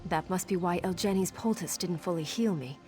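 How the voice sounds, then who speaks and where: A woman's voice: fairly low pitch, a little fast, mellow, natural voice. A young woman voicing a wounded, defiant scout and dutiful daughter in a video game, speaking in an earnest, dramatic way. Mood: hurt, pleading. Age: young adult. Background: game music.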